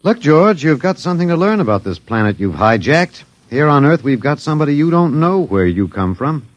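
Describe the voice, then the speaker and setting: An American actor in an old radio drama playing a wry, resigned professor who talks hesitantly and with dry humor. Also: male voice, on the high side, very animated, brisk, no echo. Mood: sardonic, resigned. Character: wry, resigned male anthropology professor, last man on Earth. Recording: dull, dry vintage radio recording.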